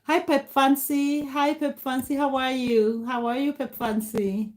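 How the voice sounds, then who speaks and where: Brief: middle-aged Kenyan-accented woman, Kikuyu native, livestream host; warm, grateful chat; mellow tone. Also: sits high, level tone, a little slow. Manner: warm, grateful, greets viewers by name. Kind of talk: casual live chat reading comments.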